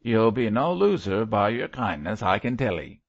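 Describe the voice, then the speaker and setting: A gruff condemned criminal from a storybook, acted by a male narrator, with a rough rustic working-class English accent. He growls in a husky, gravelly voice, coarse but full of feeling for his child. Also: fairly low pitch, sing-song, moderate pace, muffled recording, full character voice. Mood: grateful.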